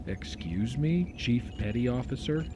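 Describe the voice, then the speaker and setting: A man's voice: mid-range voice, expressive, measured pace, background noise, lightly acted. A man playing an exasperated, rebellious starship first officer in an audio drama, blunt and sarcastic. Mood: stern. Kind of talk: fan audio drama acting.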